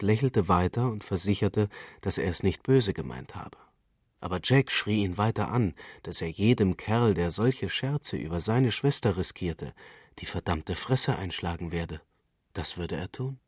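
A man with a very low, rough-edged voice narrates literary fiction. He reads in a deliberate, measured storytelling way, captured close and dry with no room sound. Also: lively intonation, a little mellow, dry recording. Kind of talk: literary scripted narration, radio reading.

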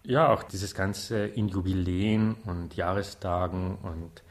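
A man talks casually as an interviewee, forming his thoughts as he goes. His voice is very deep. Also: slow. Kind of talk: casual spontaneous interview talk.

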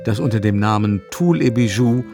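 A male narrator tells a literary story for a radio play. His voice is low and his phrasing measured, with clear instrumental music playing behind him. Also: animated. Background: guitar-like instrumental music.

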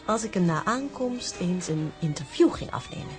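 Actress playing inquisitive poised woman, mid-pitched, animated, questioning drama dialogue, music behind. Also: normal speed, natural voice. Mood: intrigued. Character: curious, poised woman drawing out information.

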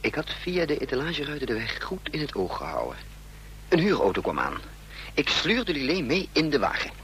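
An actor playing a wily, dry, conspiratorial fugitive agent in a Dutch radio play. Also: male voice, on the high side, wildly swooping pitch, a little fast, fairly bright tone. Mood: narrating. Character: wily male fugitive agent, sardonic, protective of his companion. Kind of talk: Dutch radio play acting and first-person narration. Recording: noisy radio play recording.

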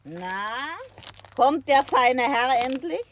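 A woman's voice: high-pitched, lively intonation, slow, fairly bright tone, slight background noise, full character voice. An actress playing a sly, mocking woman blackmailer in a 1960s radio drama. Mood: taunting. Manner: taunting, sly.

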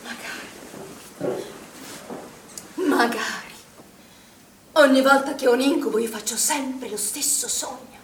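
An actress playing a troubled, grief-haunted middle-aged woman in an Italian stage drama, with anguished, heavily paused delivery. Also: sits high, natural voice. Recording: distant echoey stage recording with background noise.

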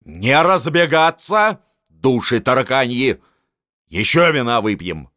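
A man's voice: medium pitch, sing-song, takes it slowly, pushed and bright, no room sound, clean studio sound, voice pushed up, heavily acted. Man playing a roaring old field marshal. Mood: commanding. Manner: roaring, scolding. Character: old furious field marshal, blustering, domineering.

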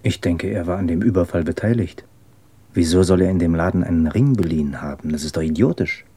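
An actor in a radio drama playing a blunt, sceptical police subordinate. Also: male voice, sits low, fairly quick, dark tone, husky.

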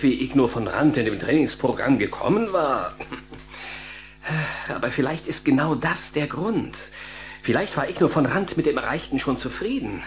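Picture a busy, kindly male psychologist in a children's radio play. He is earnest and urgent, with a very animated melody. Noticeable noise sits behind him. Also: medium pitch. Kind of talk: German children's audio drama acting.

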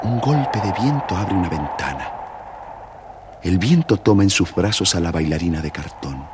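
A man's voice: rumbling low, noisy background. A man with an Argentine accent narrating a scripted children's tale, speaking directly to young listeners. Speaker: storyteller, narrator.

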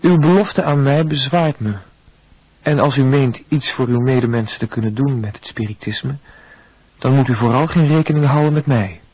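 Man acting a formal young student, husky voice. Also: mid-range voice, normal speed, darkish tone, dry recording, natural voice, poor audio. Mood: formal, earnest. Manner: formal, earnest. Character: stiffly courteous young male medical student. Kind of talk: letter read aloud in a radio play.

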